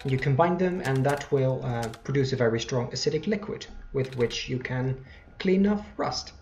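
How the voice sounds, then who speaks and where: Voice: mid-range voice, expressive, normal speed, darkish tone, faint music behind. A person giving casual let's play commentary, rambling and correcting themselves along the way. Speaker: gameplay host.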